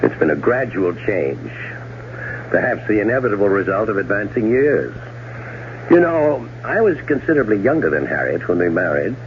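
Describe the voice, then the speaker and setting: Deep-voiced suave radio schemer. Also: male voice, sing-song. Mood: smooth, calculating. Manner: suave, sardonic, deliberate. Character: scheming, cold-blooded older brother plotting his wife's murder. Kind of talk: 1970s radio drama acting and first-person narration. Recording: dull, noisy old radio broadcast recording.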